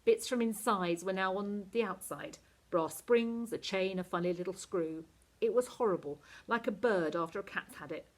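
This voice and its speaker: A woman reads a story aloud like a teacher to a class. Her narration is expressive and animated, with drama in the telling and a middling pitch. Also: normal speed. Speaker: teacher reading a novel aloud.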